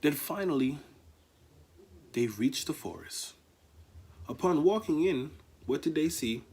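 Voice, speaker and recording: A man, the author, reading his rhyming children's story aloud in an animated storytelling manner. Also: fairly high pitch, unhurried, husky. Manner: animated, sing-song storytelling.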